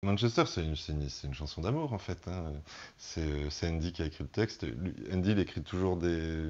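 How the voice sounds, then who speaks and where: A man with a very low-pitched voice talks as a musician in a relaxed interview. He feels his way through his explanations, pausing and restarting as he looks for the right word. The sound is somewhat dull and lo-fi. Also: slight background noise. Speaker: band musician being interviewed.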